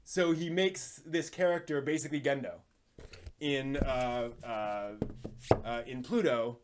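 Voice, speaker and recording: A man's voice: medium pitch, fairly even intonation, unhurried, heavy background noise. Rambling man hosting a casual anime stream. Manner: rambling, thinking aloud, digressive. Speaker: live stream host. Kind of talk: casual live stream chat about anime.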